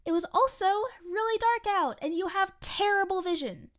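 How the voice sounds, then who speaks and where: Woman playing a high-voiced, snippy teenage waitress. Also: animated, normal speed, a bit bright. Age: young adult. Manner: snippy, sardonic, swearing. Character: sarcastic, grumbling eighteen-year-old waitress, reluctant podcast guest. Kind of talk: audio fiction podcast acting, conversational.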